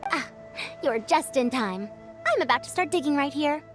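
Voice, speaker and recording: A self-assured female scholar from an anime-style game. Her voice is high and her manner proud and haughty. Music plays clearly behind her. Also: lively intonation, normal speed. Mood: smug, confident. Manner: haughty, confident.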